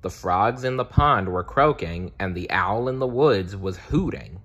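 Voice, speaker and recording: Slow, husky man reading a storybook. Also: on the low side, expressive, a bit bright. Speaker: storybook reader. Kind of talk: children's read-aloud narration.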